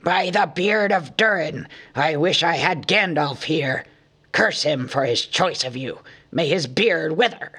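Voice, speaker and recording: A man's voice: on the high side, animated, a bit bright, voice pushed up, heavily acted. A man reading an audiobook, voicing a proud, stubborn older dwarf king with a grave, wrathful manner. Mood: raging. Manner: grave and measured, growing furious.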